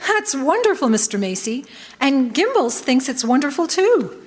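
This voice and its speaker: A woman playing a brisk, eager department store businesswoman in a stage radio drama, polite and keen. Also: sits high, very animated. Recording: echoey hall stage recording.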